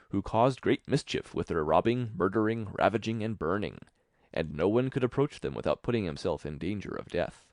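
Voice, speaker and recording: A man's voice: fairly low pitch, darkish tone, dry recording, studio quality. A man reading a fairy tale as scripted audiobook narration.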